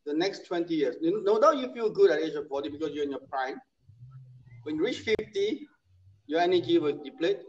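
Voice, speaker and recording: A man's voice: on the high side, lively intonation, fairly quick, darkish tone. A man in his sixties, talking casually and emphatically, often repeating his points. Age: 60s. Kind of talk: casual chat. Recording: muffled lo-fi audio.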